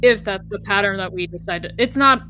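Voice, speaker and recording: A woman's voice: mid-range voice, brisk, bright, forceful tone, soft background music, poor audio. A woman playing a tabletop game, chatting casually and explaining her ideas as she thinks aloud. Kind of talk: casual group game chat.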